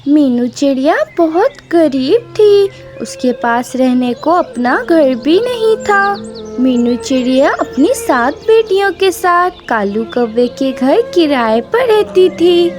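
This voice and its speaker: A woman's voice: high-pitched, expressive, slow pace, music in the background. A woman reading scripted narration for a children's story cartoon.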